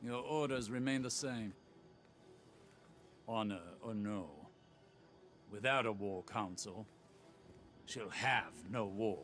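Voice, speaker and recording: An actor playing a calm, secretive, stern older mentor in a video game, speaking in a measured, deliberate way. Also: male voice, on the low side. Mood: firm. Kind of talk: scripted video game dialogue.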